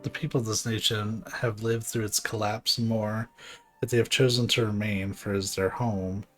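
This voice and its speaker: Man reading as a low-voiced, courteous, dignified ruler. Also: flat delivery, soft background music, close to an everyday voice, poor audio. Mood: formal, earnest. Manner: formal, archaic, measured diction.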